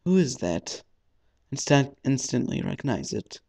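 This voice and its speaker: Slow-paced man reading a story aloud. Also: medium pitch, very animated, vocal fry, warm tone. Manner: halting, stumbling over the text. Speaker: let's play host. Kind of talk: dramatic read-aloud of visual novel narration. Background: faint game music.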